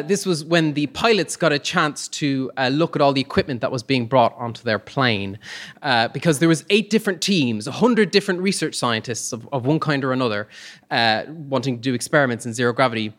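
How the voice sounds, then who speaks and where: A man telling a humorous personal anecdote live on stage, in a conversational, hesitant manner with comic pauses. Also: mid-range voice, a bit bright. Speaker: storyteller on stage.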